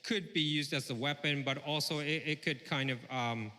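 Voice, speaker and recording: Hesitant, bright-voiced male interpreter in a hall. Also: on the high side, moderate pace. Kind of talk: live consecutive interpreting on stage. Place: stage in a large event hall. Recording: echoing large hall over PA.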